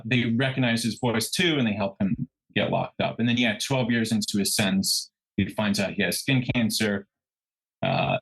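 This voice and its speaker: A man's voice: fairly low pitch, vocal fry, a bit bright, slightly rough, poor audio. Male true crime co-host. Conversational storyteller who stumbles, backtracks and corrects himself as he goes.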